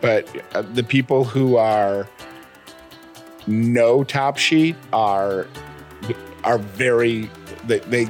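A man's voice: mid-range voice, drawn-out words, pauses often, soft background music. A man chatting casually as the co-host of a podcast. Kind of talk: casual chat.

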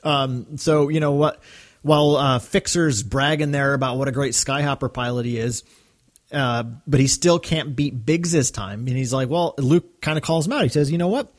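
A man's voice: medium pitch, normal speed. Enthusiastic male podcast co-host, mid-range voice. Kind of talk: casual discussion and recap.

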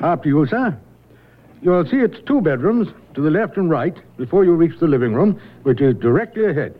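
Fussy building manager, highish animated voice, polite, obliging, tinny old radio sound. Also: male voice, a little fast. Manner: polite, fussy, chatty, deferential. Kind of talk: 1970s radio drama acting. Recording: tinny band-limited old radio recording.

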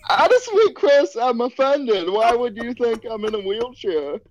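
A man's voice: very high-pitched, very animated, a little slow, full character voice. A man voicing a bodybuilder woman on a prank call, stumbling and repeating words, over dull lo-fi audio. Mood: defensive, offended. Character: woman named Sandra, a bodybuilder with a deep voice, prank caller.

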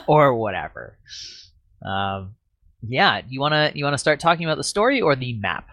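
A man with a slightly raspy voice chats casually as a podcast host. He rambles and laughs at himself. The sound is dry and close to the mic. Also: medium pitch, wildly swooping pitch, a little slow, pauses often, bright, forceful tone, no echo.

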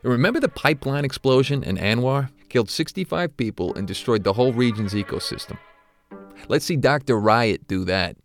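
An actor playing an older, guilt-ridden retired corporate executive who confides haltingly, as in an audio drama interview. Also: male voice, mid-range voice, animated, soft background music, close to an everyday voice. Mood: wry, defensive. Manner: hesitant, confessional, halting.

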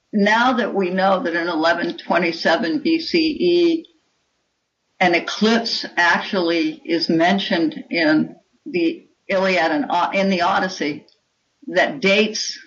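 A woman's voice: on the low side, a bit bright. A dull, lo-fi recording carries the voice of an older woman. She is a guest author giving conversational, articulate explanations in an interview.

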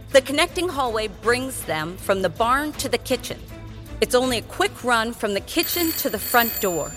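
A woman's voice: a little high, a bit bright. A woman narrating a children's drama in a scripted, suspenseful and emphatic storytelling style.

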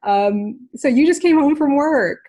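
A woman's voice: high-pitched, expressive, unhurried, warm tone. A woman hosting a podcast, chatting with a guest in a friendly, encouraging way. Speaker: podcast host, physiotherapist. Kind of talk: casual interview chat.

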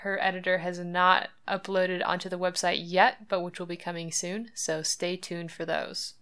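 Smooth low-voiced podcast host woman. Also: little pitch movement, normal speed, fairly bright tone. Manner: earnest, chatty, with light laughs. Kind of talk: scripted podcast monologue.

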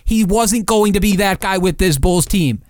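A man's voice: high-pitched, normal speed, dry recording, studio quality. A man co-hosting a sports podcast in casual, slangy, emphatic talk with rhetorical questions.

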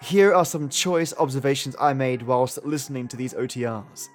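A man's voice: medium pitch, normal speed, faint music behind. A man hosting a podcast with chatty, playful, slightly theatrical commentary. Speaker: podcast host. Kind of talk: chatty commentary on old radio stories.

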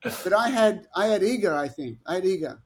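An upbeat man with a fairly high voice talks through tennis tactics as a podcast guest. He explains eagerly and jumps between points. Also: brisk. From Australia. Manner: enthusiastic, animated explaining. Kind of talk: podcast interview.

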